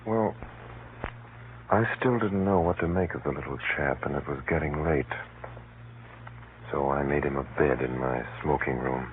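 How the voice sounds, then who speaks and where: A man acting a grave gentleman who narrates a spy plot in an urgent, deliberate, dramatic 1930s radio drama style. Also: a bass voice. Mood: hushed, recounting. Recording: muffled, noisy 1930s radio recording. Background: faint hiss and noise.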